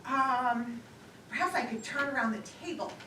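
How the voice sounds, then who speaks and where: High-voiced nervous chatterbox woman, acted on stage. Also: moderate pace, bright, forceful tone. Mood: flustered, musing. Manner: rambling, self-conscious, giggly, theatrical. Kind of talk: live one-act stage play monologue. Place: lakeside theater stage, recorded from afar. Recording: distant, echoey, noisy live theater recording.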